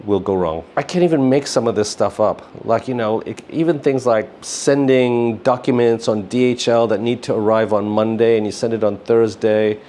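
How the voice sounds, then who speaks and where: Middle-aged man, lowish pitch, casual interview guest, rambling phrasing, slight background noise. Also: moderate pace, few pauses, dark tone. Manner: rambling, often says "you know" and "like". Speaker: interview guest, business founder.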